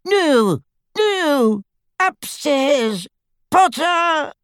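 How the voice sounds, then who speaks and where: A man's voice: very high voice, sing-song, extremely slow, fairly bright tone, no room sound, clean studio sound, voice pushed up, full character voice. A man voicing a terrified father in an audiobook, sobbing and begging desperately as he repeats himself. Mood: panicked pleading. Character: terrified, sobbing, pleading father captured by attackers. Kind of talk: audiobook character voice.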